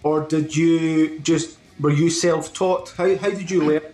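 A man's voice: a little high, fairly even intonation, unhurried, a little mellow, soft background music. A man hosting an interview, working his way through long, rambling questions on a video call. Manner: rambling, builds up questions at length. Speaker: interview host. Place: home room, on a video call. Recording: echoey video call audio.

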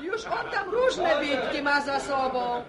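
Sharp-tongued village wife, swooping voice. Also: female voice, sits high, sing-song, slow, heavily acted. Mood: teasing. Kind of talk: Polish radio serial acting. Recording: echoey old radio recording, loud crowd.

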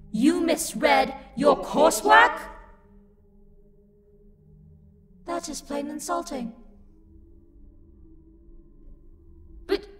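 A woman playing a haughty, sassy, livid female demon in a dramatic roleplay monologue, indignant and imperious. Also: high-pitched. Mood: exasperated, outraged. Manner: indignant, imperious, loud outbursts. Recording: long reverb, music behind the voice. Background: music.

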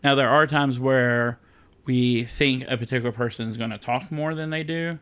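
A man's voice: mid-range voice, steady tone, normal speed, a bit bright, clear, resonant tone. A man from the American South, a podcast guest talking candidly and with self-deprecating humor, using many fillers. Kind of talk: casual interview chat.

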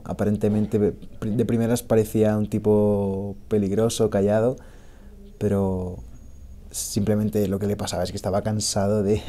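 A young man from Spain with a Barcelona Spanish accent, telling his travel diary in a calm, thoughtful and personal way. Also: fairly low pitch. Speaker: travel vlogger. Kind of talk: reflective travel diary narration. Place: small bedroom in a hostel.

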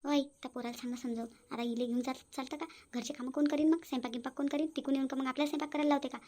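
Casting: woman playing a bossy village mother-in-law, high rapid scolding. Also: fairly even intonation, warm tone. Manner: fast scolding chatter. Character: scheming nosy middle-aged village mother-in-law. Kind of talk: cartoon voice acting.

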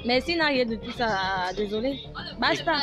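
A woman's voice: fairly high pitch, moderate pace. A young woman with a Cameroonian French accent, answering a street interviewer bluntly and emphatically outdoors. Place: outdoor campus seating area. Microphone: interviewer's phone held close. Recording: music and crowd behind.

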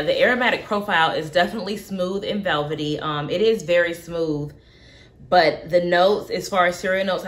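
A young woman vlogging from her home kitchen, talking through a coffee tasting in a relaxed way with plenty of fillers. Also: on the low side. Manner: relaxed, thinking aloud with many fillers. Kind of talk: casual tasting commentary.

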